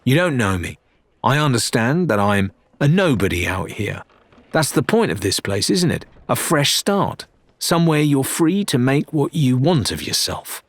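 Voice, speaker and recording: A man's voice: medium pitch, sing-song, measured pace, no echo, pitched above the performer's own voice. A man voicing a determined young woman sailor in an audiobook, plain-spoken and defiant. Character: determined young woman newcomer seeking pirate life.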